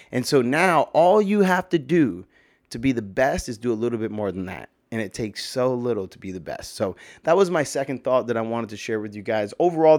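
A man with a slightly hoarse voice, talking in a casual, rambling opinion monologue full of fillers, with emphatic bursts and swearing. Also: mid-range voice, darkish tone.

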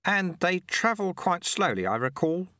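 Brief: man playing a wily, genial old sweeper, high, bright and teasing. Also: very animated, unhurried, no echo, clean studio sound, heavily acted. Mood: amused kindly. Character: little bald wrinkly old man, sly and kindly.

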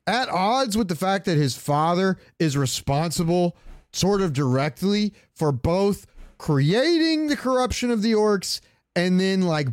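Higher-voiced man arguing insistently. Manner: argumentative, insistent, repeats himself. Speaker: podcast co-host. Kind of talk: casual debate about video game lore.

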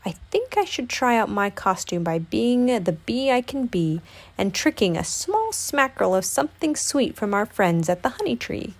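A gentle, naive bear from a children's storybook, read by a woman, speaking a little high. He is easygoing and polite, choosing simple words with mild earnestness. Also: very animated, normal speed. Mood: politely determined.

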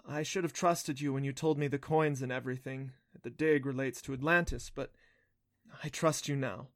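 A man's voice: medium pitch, fairly even intonation, normal speed, no echo, studio quality, lightly acted. A man voicing a caring adult mother in a storybook, bewildered and earnest, with a tender, questioning manner. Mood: tender, resolute.

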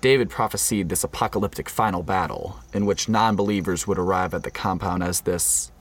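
A man playing an uneasy undercover federal agent in a scripted radio play, with a measured, solemn delivery. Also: deep voice, lightly acted. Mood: grave, reflective. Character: undercover male FBI agent narrating a compound infiltration, uneasy. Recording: faint background noise.

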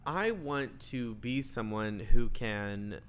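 A man's voice: mid-range voice, animated, slow pace, fairly bright tone, slight background noise. A man in his thirties talking casually as a guest, joking and restarting his sentences. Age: 30s. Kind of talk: casual conversation.

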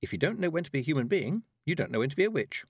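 A man's voice: medium pitch, wildly swooping pitch, moderate pace, no room sound, studio quality, voice pushed up. A man voicing a plucky, clever young apprentice witch, an earnest and direct girl in a storybook reading. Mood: cheerful.

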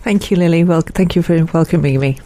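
A woman's voice: on the low side, brisk, mellow. A middle-aged woman with an English accent, giving a conversational personal account as an interview guest. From born in Malaysia, lived in many countries.

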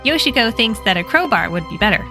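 An American woman hosting a story podcast, retelling a horror manga in a lively, expressive and wry way. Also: on the high side, quick pace, over music. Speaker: podcast host and narrator.

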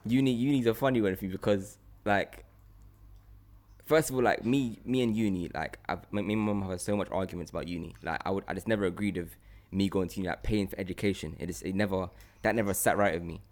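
A young man talks in casual podcast style. He is opinionated and animated, often repeating phrases to drive a point home. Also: sits low, quick pace. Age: young adult. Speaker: podcast host.

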